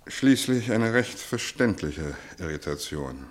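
A man acting an urbane, fatherly professor of medicine in a radio play, deliberate and reassuring, with pointed pauses. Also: low-pitched, big pitch swings, full character voice. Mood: reassuring, brisk.

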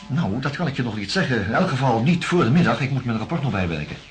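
An actor playing a patient, cautious, kindly doctor, speaking in a measured, slightly hesitant way in a radio drama. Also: male voice, mid-range voice, big pitch swings, faint music behind, natural voice. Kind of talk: naturalistic radio drama dialogue.

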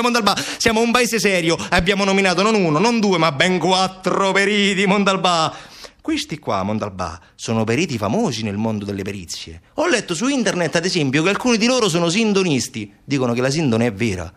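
Satirical stage monologue: male actor as evasive official, fairly high voice, colloquial, mockingly defending shady experts. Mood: sarcastic, shrugging. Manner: colloquial, sarcastic, animated rhetorical asides. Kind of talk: theatrical satirical monologue, one side of a conversation.

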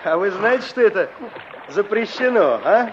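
A man's voice: sits high, expressive, moderate pace, background noise. An actor playing a plain, practical young village man in a Soviet radio drama, wistful and sensible in colloquial speech. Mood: excited. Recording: muffled old radio drama recording.

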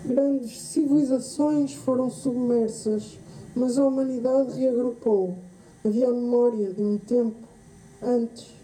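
A woman with a European Portuguese accent performs a theatrical radio-drama monologue, deliberate and reflective, with pauses and repeated phrases. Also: fairly high pitch, steady tone, mellow. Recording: roomy echo with faint noise.

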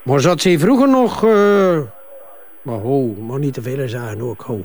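An actor playing a defensive older Flemish father and company boss in a crime drama, halting, stammering and repeating himself. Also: mid-range voice, animated, darkish tone, close to an everyday voice. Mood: evasive, hesitant. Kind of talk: naturalistic TV crime drama dialogue.

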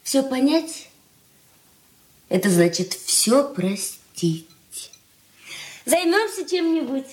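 An actress playing a cool, proud young fiancée in a radio drama, with a composed, curt and formal delivery. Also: female voice, high-pitched, sing-song, takes it slowly, long pauses, darkish tone, exaggerated voice. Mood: cool, deliberate. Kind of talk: Soviet radio drama acting.

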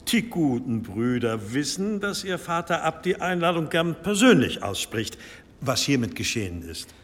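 A man playing a courteous, unctuous monastery abbot with quiet authority in a radio drama, formal, measured and gently insistent. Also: mid-range voice, very animated, big-room sound, lightly acted. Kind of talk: German radio drama acting.

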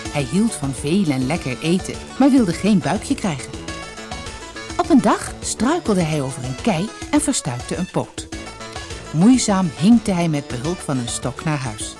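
Low-pitched, highly animated woman storyteller. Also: unhurried, music in the background. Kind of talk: scripted audiobook fairy tale narration.

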